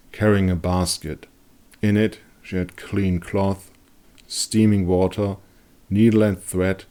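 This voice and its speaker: A man narrating a dark fantasy story as a dramatic audiobook reading. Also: sits low.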